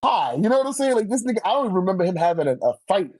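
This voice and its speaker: A man's voice: high voice, very animated, very fast, a little mellow, no echo. A man in a casual debate, speaking informally with heavy slang and swearing. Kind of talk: casual debate about manga character rankings.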